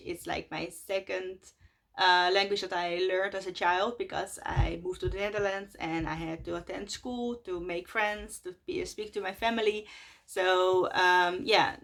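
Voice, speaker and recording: A woman in her twenties from Russia, a native Russian speaker talking casually in English to her vlog audience, with many fillers and soft laughs between phrases. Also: on the low side, vocal fry. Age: 20s. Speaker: vlogger. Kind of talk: casual chat to camera.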